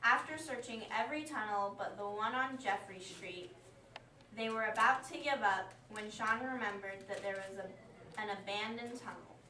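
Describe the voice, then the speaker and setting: A woman's voice: mid-range voice, normal speed, bright, forceful tone. A woman reading scripted story narration aloud for an amateur puppet show. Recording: echoey room, distant mic, faint noise.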